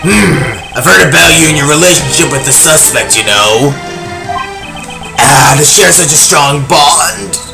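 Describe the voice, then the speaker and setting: Smoothly menacing older chairman, played by a man, music behind. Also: fairly high pitch, measured pace. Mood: falsely warm. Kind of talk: video game fandub dramatic acting.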